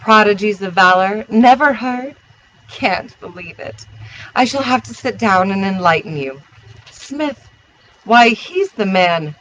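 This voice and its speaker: Flirtatious storybook hostess, breezy, exclamatory, bright and animated, voiced by a man, slight background noise. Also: high-pitched, measured pace, voice pushed up, rough recording. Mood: flighty, chattering. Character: flighty, flirtatious society hostess, gossiping while dancing.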